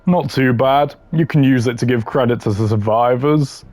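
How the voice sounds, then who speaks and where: A man's voice: medium pitch, normal speed, darkish tone, dry recording. A man giving casual, opinionated gaming commentary in a blunt, slangy way, ranking voice lines. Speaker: gamer ranking game voice lines.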